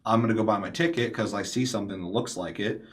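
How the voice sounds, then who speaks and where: A man's voice: fairly low pitch, fast, fairly bright tone. A man hosting a casual panel discussion, ranting emphatically with heavy swearing and slipping into mimicked quotes of other people. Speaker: podcast host.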